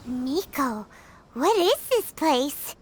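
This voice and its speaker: A woman voicing a tiny, timid and excitable childlike fairy companion with a whiny manner in a video game. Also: high-pitched, very slow, a little hiss or hum, full character voice. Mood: puzzled. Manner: refers to self in third person, whiny.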